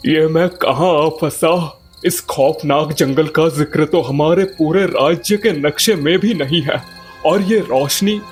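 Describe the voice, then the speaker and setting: A man playing a young wandering prince, gentle and concerned, with earnest dramatic delivery in a cartoon fairy tale. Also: fairly high pitch, takes it slowly, faint music behind. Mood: wary wonder.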